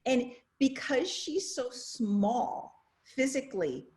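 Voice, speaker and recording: A woman with a fairly high voice hosts a video-call discussion. She talks in a chatty, effusive, informal way, as a professor would when leading a group. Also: very animated, takes it slowly, darkish tone. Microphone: video call microphone.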